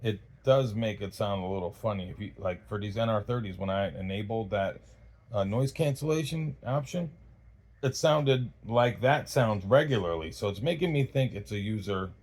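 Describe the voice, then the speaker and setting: A man giving a casual, unscripted gear review in a halting, rambling way, often backtracking mid-thought. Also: lowish voice, darkish tone. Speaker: host of a radio gear review channel.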